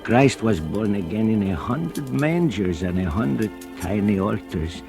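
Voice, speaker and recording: A grim old blind cobbler, slow and brooding, old radio drama, soft music behind. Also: male voice, fairly low pitch, expressive, vocal fry. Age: older. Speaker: narrator and lead character. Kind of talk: 1940s radio drama acting.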